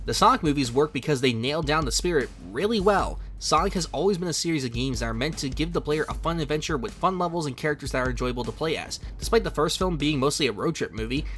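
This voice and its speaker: A man with a fairly high voice delivers a scripted video essay over music. He talks fast, in a conversational and emphatic way. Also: animated, a bit bright. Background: music under the voice.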